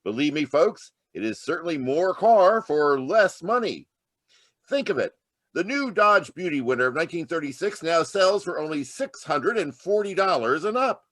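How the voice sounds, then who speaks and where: A man's voice: on the high side, big pitch swings, normal speed, a bit bright, no echo. A man playing an enthusiastic 1930s radio car salesman, chummy and emphatic in old commercial style. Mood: selling hard.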